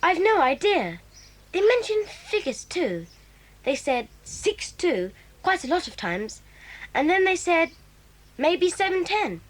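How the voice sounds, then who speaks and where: A woman voicing an excitable, eager schoolboy who talks in a lively, chatty, breathless way in a 1980s children's audio drama. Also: extremely high pitch, slow pace, full character voice.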